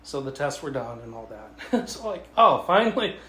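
A man's voice: mid-range voice, normal speed. Man telling a funny anecdote in an echoey room. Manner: animated storytelling, acting out dialogue, chuckling often. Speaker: writer telling a travel story to a writers' group. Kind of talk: casual humorous anecdote. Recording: echoey room with faint noise.

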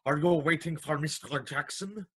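An actor playing a polite, fussy, apologetic clock repairman in a radio drama, with a husky, hesitant, formal delivery. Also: a little high, moderate pace. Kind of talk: vintage radio drama acting.

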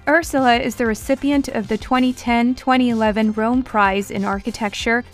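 A woman's voice: a little high, steady tone, normal speed, soft background music. A woman hosting a podcast, reading a scripted introduction and a formal guest biography. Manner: reads a formal guest bio. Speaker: podcast host.

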